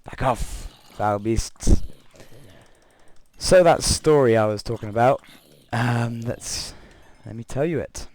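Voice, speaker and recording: A young man with a croaky voice from being unwell, rambling through gameplay commentary and a story, correcting himself often with chuckling asides. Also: medium pitch, slow, a little mellow, no room sound. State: croaky voice from illness. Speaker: Minecraft let's player.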